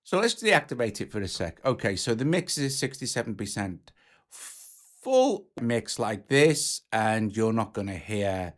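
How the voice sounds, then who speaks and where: A man's voice: on the low side, sing-song, measured pace. A man presenting a music app tutorial in a casual, informal, hands-on explaining way.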